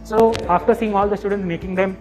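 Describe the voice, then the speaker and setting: A woman's voice: medium pitch, fairly even intonation, fast, a little mellow, rough recording. A woman baking teacher explaining a bread demo loosely, with fillers. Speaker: baking instructor. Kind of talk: casual explanation to camera. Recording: music underneath.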